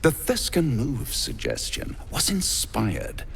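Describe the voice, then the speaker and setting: An actor playing a suave, menacing gentleman devil in a video game, silky and theatrical, savouring his words. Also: mid-range voice, huge pitch swings, takes it slowly, vocal fry, a little hiss or hum, heavily acted. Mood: sly, menacing.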